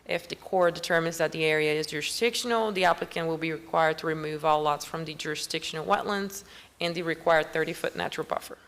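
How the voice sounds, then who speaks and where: A woman's voice: low-pitched, quick pace, fairly bright tone. A woman on county planning staff, formally reading a staff report at a public meeting while losing her voice. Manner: formal, reads steadily with small restarts and clears her throat.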